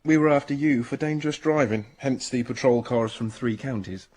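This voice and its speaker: A man's voice: medium pitch, normal speed, a little mellow, close to an everyday voice. A man playing an easygoing, dryly amused police officer in a radio drama. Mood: dry amusement.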